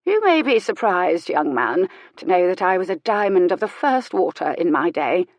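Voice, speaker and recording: A woman voicing a sharp-tongued, dying elderly noblewoman with a wry, imperious manner. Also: fairly low pitch, big pitch swings, normal speed, no room sound, highs cut off. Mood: confiding. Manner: tart, teasing, grandly authoritative.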